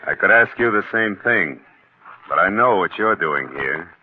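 An actor playing a cold, scheming husband in a 1940s radio thriller, controlled, guarded and quietly menacing. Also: male voice, low voice, lively intonation, normal speed, a little hiss or hum. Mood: cold menace. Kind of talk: 1940s radio drama acting. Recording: muffled, tinny old radio broadcast.

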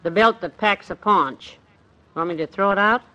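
A woman playing a patient, concerned and gently teasing wife in an old-time radio comedy. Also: mid-range voice, normal speed, highs cut off, close to an everyday voice.

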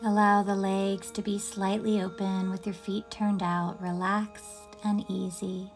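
Slow, soothing woman guiding meditation over music. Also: medium pitch, flat delivery. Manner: gentle, soothing, unhurried with long pauses. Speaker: yoga nidra guide. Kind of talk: guided meditation narration.

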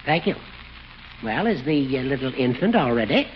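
An actor playing a brisk, self-satisfied older judge in a 1940s radio comedy. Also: male voice, medium pitch, very animated, lightly acted. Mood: brisk, pleased. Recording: muffled old radio, faint noise.

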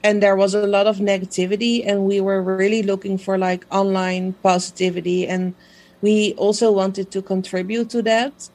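A woman's voice: mid-range voice, flat delivery, moderate pace, darkish tone. Chatty Dutch woman laughing often. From Netherlands. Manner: chatty, laughs easily, many fillers. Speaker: guest, social media page creator. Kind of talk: casual interview chat.

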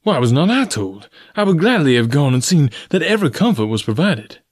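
A man voicing an earnest, formal, pressing gentleman suitor of about forty in an audiobook story. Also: mid-range voice, animated, dry recording, studio quality, lightly acted. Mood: chagrined. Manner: deliberate, formal, blunt.

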